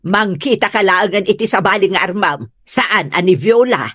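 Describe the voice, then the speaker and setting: A woman's voice: mid-range voice, moderate pace, a bit bright, breathy edge, no echo, clean studio sound. A woman acting a stubborn, grudge-holding mother of a grown son in an Ilocano comedy drama. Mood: scolding, stubborn.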